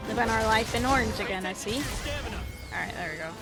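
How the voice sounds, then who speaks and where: A woman with a gravelly voice, chatting casually and a little self-deprecatingly while she plays a video game. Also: a little high, expressive, moderate pace. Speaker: gaming streamer. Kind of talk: casual commentary while playing. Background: game music.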